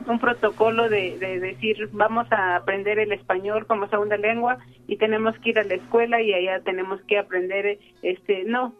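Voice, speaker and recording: A woman from Michoacán, Mexico, whose first language is Purépecha, giving a reflective personal account in a thoughtful, unhurried way with frequent pauses. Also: mid-range voice, highs cut off. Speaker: interviewee.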